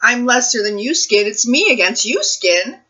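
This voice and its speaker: Bright-voiced woman hosting children's storytime. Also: fairly high pitch. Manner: expressive, drawn-out reading for young children. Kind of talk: picture book read-aloud and talk to families.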